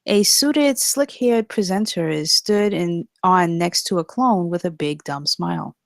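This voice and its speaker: A woman's voice: lowish voice, a little slow, vocal fry, no echo, studio quality. A woman narrating the stage directions at a screenplay table read in a scripted style.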